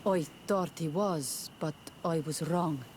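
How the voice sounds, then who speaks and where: A wary older village mother in a video game. Her voice is on the low side, grave and earnest, with faint noise behind. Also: slow pace, darkish tone. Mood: wistful. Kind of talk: video game dialogue acting.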